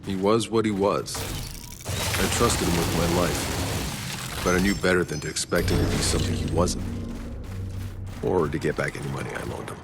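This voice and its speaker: An actor playing a gruff, weary male soldier in a video game, laconic and dryly sarcastic. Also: low voice, moderate pace, pauses often, loud music behind the voice. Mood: dry, reflective. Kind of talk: video game cutscene acting.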